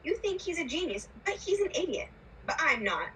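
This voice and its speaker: Bratty boy genius puppet voiced by a woman, high and bright, indignant, distant noisy mic. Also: very animated, moderate pace, exaggerated voice. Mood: exasperated. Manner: indignant, lecturing. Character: arrogant, irritable know-it-all boy puppet. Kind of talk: puppet show acting. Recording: distant mic with background noise.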